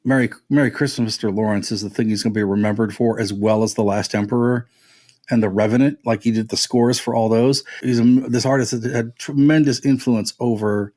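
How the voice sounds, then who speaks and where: A man's voice: mid-range voice, steady tone, a little fast, darkish tone. A man talking casually and at length as a music podcast panelist, with an enthusiastic, discursive manner.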